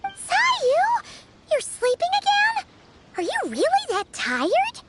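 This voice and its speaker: A woman voicing a tiny, cheeky fairy companion in a video game, chirpy, squeaky and childlike. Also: wildly swooping pitch, slow, very noisy, full character voice. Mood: curious. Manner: chirpy, refers to self in third person. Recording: loud music behind the voice.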